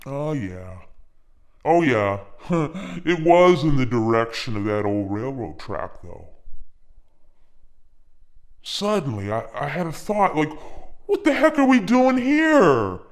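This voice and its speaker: Man telling a spooky story, slow and animated. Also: on the high side. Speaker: narrator of a story channel. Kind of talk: dramatic first-person storytelling, reading a ghost story.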